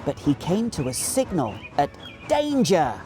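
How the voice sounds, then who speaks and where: Very animated British male storyteller. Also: a little high, unhurried, faint music behind. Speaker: storybook narrator. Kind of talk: animated children's story reading. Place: small indoor recording studio.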